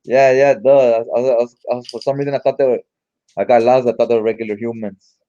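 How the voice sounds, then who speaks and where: A man's voice: medium pitch, flat delivery, fast talker, warm tone, muffled recording. A man giving casual read-along comic commentary in an informal, slangy, mildly profane way. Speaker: comic book reviewer.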